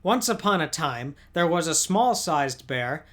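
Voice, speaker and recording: A man's voice: a little high, big pitch swings, a little slow, fairly bright tone. A man reading a scripted recap of a cartoon episode as a video essay narrator.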